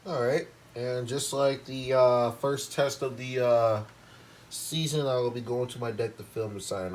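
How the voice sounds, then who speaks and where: A man talking casually as he narrates his hobby video, unscripted and a little halting. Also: medium pitch, a little mellow, slight background noise.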